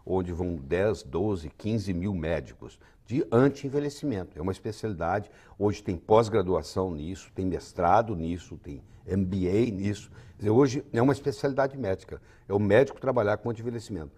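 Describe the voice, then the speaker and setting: A man's voice: sits low, normal speed, hardly any pauses, mellow, slightly rough. A man in his sixties with a Brazilian accent, a physician giving confident, lecturing explanations as an interview guest. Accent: Brazilian Portuguese. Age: 60s.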